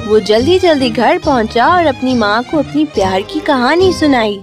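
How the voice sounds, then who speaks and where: A woman's voice: high voice, lively intonation, music in the background. A woman narrator reading a scripted fairy tale for children.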